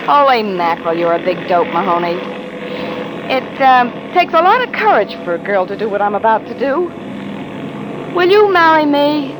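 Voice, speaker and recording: An actress playing a feisty, wisecracking young dancer in a 1940s radio drama on a noisy old recording. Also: female voice, on the high side, sing-song, normal speed. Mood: wry, tender.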